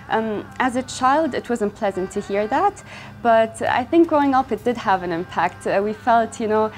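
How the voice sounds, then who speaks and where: A woman's voice: mid-range voice, darkish tone. Earnest young woman, faint music behind. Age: young adult. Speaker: fashion brand founder. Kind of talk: interview monologue.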